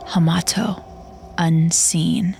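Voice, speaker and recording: A woman narrating a dramatic audio story in a solemn, measured way with weighty pauses. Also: lowish voice, steady tone, vocal fry, a little hiss or hum. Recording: narration under loud dramatic music. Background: loud music.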